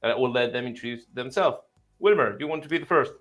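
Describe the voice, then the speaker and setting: A man's voice: medium pitch, fast, fairly bright tone, soft background music. A man hosting an online panel chat, friendly and rambling with many fillers. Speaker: host.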